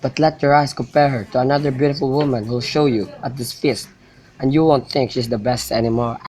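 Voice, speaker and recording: A man's voice: mid-range voice, steady tone, fairly quick, darkish tone, close to an everyday voice, poor audio. Man acting young male companion, mid-range voice, teasing, coaxing, student radio play. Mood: persuasive. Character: persuasive, teasing young friend of Romeo. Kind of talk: amateur radio drama acting.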